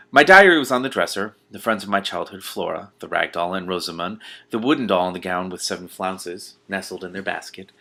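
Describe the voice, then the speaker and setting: Low-voiced man, forceful and bright, as an online book reader: expressive narration with incredulous commentary. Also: vocal fry. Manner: expressive, breaks off to react in disbelief. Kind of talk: reading a novel aloud with exclaimed commentary.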